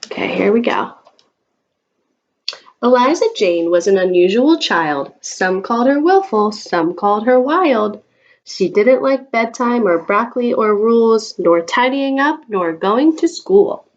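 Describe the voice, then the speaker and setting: A woman reading a picture book aloud to a young child, expressive and coaxing, in the manner of a teacher. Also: on the high side, unhurried, lo-fi sound. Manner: expressive, coaxing talk aimed at small children.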